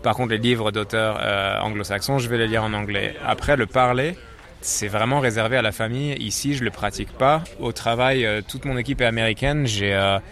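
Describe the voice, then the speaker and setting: A man's voice: fairly low pitch, fairly even intonation, brisk, a bit bright. A man from France with a French accent, answering casually and in an explanatory way as an interview guest. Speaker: interview guest, office professional working from home.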